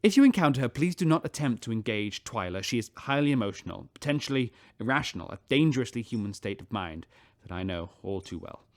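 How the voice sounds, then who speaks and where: A man's voice: medium pitch, expressive, fast, close to an everyday voice. A man voicing a grave, commanding ship captain who gives a formal public warning. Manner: formal, grim.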